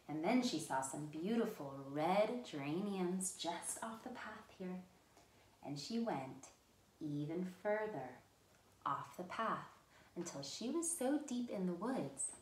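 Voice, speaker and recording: A woman's voice: on the low side, reverberant, poor audio. A woman hosting a children's storytime, speaking simply and encouragingly as if to young children. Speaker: children's library storytime host.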